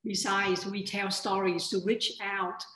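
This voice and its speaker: A woman's voice: mid-range voice, little pitch movement, unhurried, background noise. A middle-aged woman, a librettist speaking thoughtfully with some hesitations on a video call. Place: home room. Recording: echoey room.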